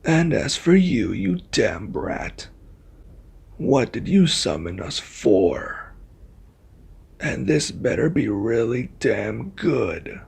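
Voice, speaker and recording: A man voicing an arrogant, menacing reluctant ally in an ASMR roleplay, with a husky, taunting, threatening delivery. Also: mid-range voice, sing-song, takes it slowly, a little mellow, full character voice. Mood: irritated, menacing.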